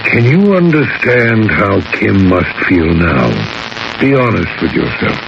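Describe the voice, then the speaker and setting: A man playing a grave, thoughtful priest in a radio drama, speaking in a measured, earnest and probing way. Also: lowish voice, very animated, gravelly, full character voice. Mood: probing, frank. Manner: measured, earnest, probing questions with deliberate emphasis. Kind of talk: 1970s radio drama acting. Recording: hissy, dull 1970s radio broadcast.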